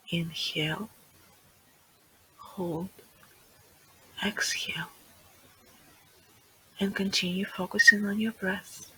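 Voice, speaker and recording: Slow, very breathy meditation guide. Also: mid-range voice, rough recording. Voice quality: soft, breathy, often near whisper. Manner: hushed, soothing, measured phrases with pauses. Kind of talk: guided meditation, hypnotic relaxation script.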